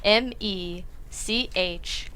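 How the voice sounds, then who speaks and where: A woman's voice: medium pitch, extremely slow, pushed and bright, slight background noise, poor audio. A young woman, a guest screenwriter, chatting casually. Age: young adult. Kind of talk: casual chat.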